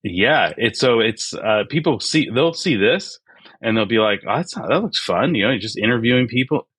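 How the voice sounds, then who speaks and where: A man's voice: medium pitch, expressive, normal speed, fairly bright tone, slightly rough, no room sound, studio quality. A man in his forties, a podcast guest, talking earnestly with many fillers and restarts. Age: 40s. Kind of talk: reflective interview talk.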